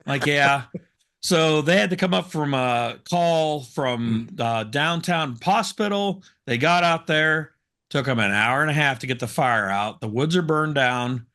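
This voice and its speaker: A man co-hosting a casual talk show, hoarse from smoke, telling rambling self-deprecating stories. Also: a little high, a little slow, pushed and bright. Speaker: co-host. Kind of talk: casual chat, storytelling.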